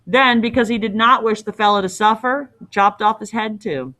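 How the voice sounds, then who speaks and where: A woman's voice: fairly high pitch, moderate pace, bright, forceful tone. A woman reading a story aloud as a teacher, expressively, with casual explanatory asides.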